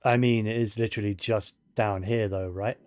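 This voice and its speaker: A man's voice: on the low side, steady tone, moderate pace, a little mellow. A man giving casual video game commentary, musing and thinking aloud to himself as he plays.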